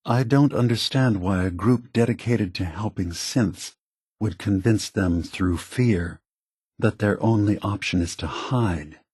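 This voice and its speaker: An actor playing a gentle, weary old male android leader in a video game, husky and soft-spoken, with a measured, contemplative, earnest manner. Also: fairly low pitch, no room sound, clean studio sound. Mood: earnest, concerned. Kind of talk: video game character dialogue.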